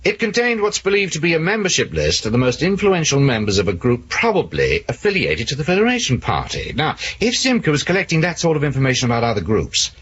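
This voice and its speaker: An actor playing an assured, determined investigator in a 1970s radio drama, explaining things insistently. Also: male voice, fairly high pitch, huge pitch swings, quick pace, few pauses, fairly bright tone. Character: assured, determined male investigator plotting a risky search. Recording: muffled, noisy vintage radio broadcast.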